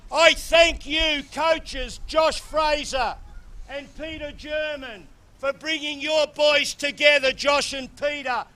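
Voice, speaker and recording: A man projects a booming, impassioned address across an open football ground with no microphone. His delivery is slow and emphatic, high in pitch and bright in tone. He is picked up from a distance outdoors. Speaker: speaker at a memorial tribute before a football match. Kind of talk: loud unamplified public address to a crowd.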